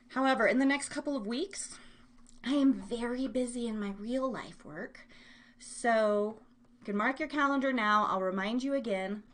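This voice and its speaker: A woman's voice: fairly high pitch, fairly bright tone. A woman hosting a live online show, chatting casually in a bubbly, playful, scattered way. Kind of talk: casual rambling livestream chat.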